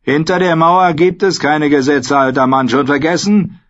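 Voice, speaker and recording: A man's voice: fairly high pitch, moderate pace, a bit bright, lo-fi sound, pitched above the performer's own voice, close to an everyday voice. A male narrator acts a ruthless mutineer in a fantasy audiobook. He is cold and mocking, pressing his threats in a raised, hard delivery.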